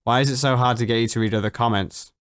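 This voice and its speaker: A young man chatting casually on a late-night stream, answering viewer questions, swearing freely and jumping up in pitch when excited. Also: fast, clear, resonant tone, no echo, studio quality. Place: home at night.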